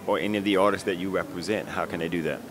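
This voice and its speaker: A man's voice: deep voice, fairly even intonation, very fast, a crowd in the background. A middle-aged man asking questions in a casual on-location interview with a handheld microphone. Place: indoor art expo in a convention center. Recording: noisy exhibition hall background. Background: busy hall noise.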